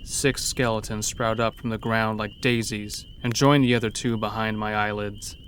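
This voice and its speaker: A man's voice: fairly low pitch, fairly even intonation, moderate pace, fairly bright tone, slight background noise. A man narrating a scripted first-person horror story as an uneasy logging worker.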